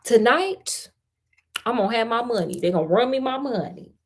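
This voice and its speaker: A woman's voice: on the high side, animated, moderate pace. A woman with an American accent voicing a foolish, stubborn male peasant in a storybook, blustering and indignant as he complains. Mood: expectant, grumbling.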